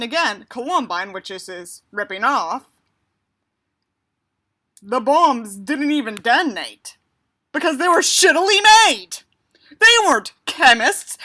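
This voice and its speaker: Female reader-commentator, sharp and fairly high, emphatic and sarcastic delivery of a book. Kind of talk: reading a book aloud with mocking asides.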